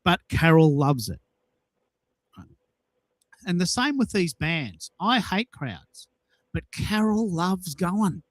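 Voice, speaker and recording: A man's voice: high-pitched, big pitch swings, takes it slowly, pauses often, no echo, poor audio. A man hosting a casual audio chat, telling personal anecdotes in an emphatic way and repeating himself for effect. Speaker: host of a social audio show.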